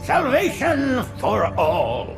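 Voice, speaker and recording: Preachy alien prophet, high creaky voice, super lofty and zealous, game music behind. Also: male voice, huge pitch swings, takes it slowly, full character voice. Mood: reverent, exalted. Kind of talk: dramatic video game cutscene acting.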